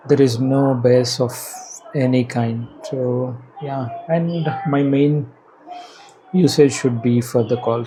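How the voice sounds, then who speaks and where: Clear background noise sits behind a man with an Indian accent who speaks slowly and haltingly. His voice is soft-edged and mellow at an average pitch. He sounds like he is thinking aloud as he casually presents a product. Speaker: product reviewer. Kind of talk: casual unboxing and installation commentary. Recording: clearly noisy background ambience.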